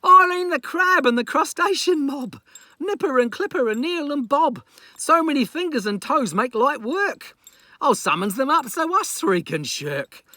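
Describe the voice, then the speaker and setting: A man's voice: extremely high pitch, sing-song, unhurried, bright, forceful tone, no room sound, studio quality, pitched above the performer's own voice, heavily acted. A man playing a lazy, scheming pirate captain in a children's story, declaiming in a theatrical, comic way. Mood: excited scheming.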